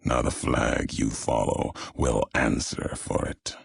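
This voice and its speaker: Casting: brooding, fatalistic game courier, heavy fry and husky grain, grave, cryptic, unhurried menace. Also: male voice, steady tone, no echo, studio quality, exaggerated voice. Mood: grave, resolute. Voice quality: deep, gravelly, raspy. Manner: slow, solemn, cryptic, clipped phrases. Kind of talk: video game character dialogue.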